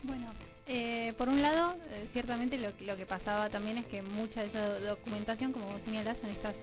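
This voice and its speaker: An Argentine woman speaks as a radio interview guest in a rather high voice. She explains in a conversational, halting way, often rephrasing mid-thought. Music is clearly heard behind her. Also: fairly even intonation, low-quality recording. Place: radio studio.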